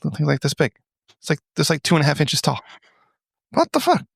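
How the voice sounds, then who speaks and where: A man's voice: fairly high pitch, sing-song, fast, gravelly, slightly rough, no room sound. Crude middle-aged podcast guy, dry close sound. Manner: crude, profane. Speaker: podcast co-host. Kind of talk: casual comedic banter.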